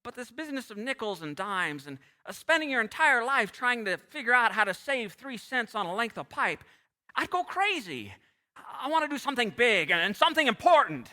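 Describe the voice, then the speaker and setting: A man plays an earnest, restless young small-town dreamer in a vintage radio drama. His voice is high and very bright, with swooping, stammering eagerness. It rings through a hall in a live stage taping. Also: sing-song, fairly quick, exaggerated voice. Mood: frustrated, earnest. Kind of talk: old-time radio play acting on stage. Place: theatre stage.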